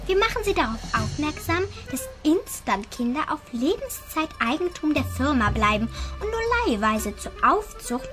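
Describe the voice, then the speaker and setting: A woman's voice: sits high, very animated, moderate pace, few pauses, over music. A woman acting a clever, forthright young girl in a children's radio play, direct and precise. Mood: formal reading.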